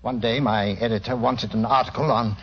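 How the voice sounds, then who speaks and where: An actor playing a proud but ashamed British gentleman, earnest and well-spoken, in 1940s radio drama style. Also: medium pitch, steady tone, fairly quick, breathy edge. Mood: resigned, confessional. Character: proud, ashamed well-bred gentleman confessing his secret. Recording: muffled old radio recording.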